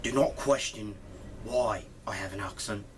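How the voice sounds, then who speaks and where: A man's voice: fairly low pitch, unhurried, a little hiss or hum, voice pushed down, lightly acted. A man voicing a brash, foul-mouthed future version of himself, with a put-on foreign accent and lots of swearing. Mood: dismissive.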